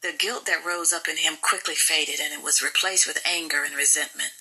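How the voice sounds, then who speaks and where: A woman's voice: low voice, steady tone, a little fast, pushed and bright, slightly rough, rough recording. A woman reading scripted fiction as an audiobook narrator.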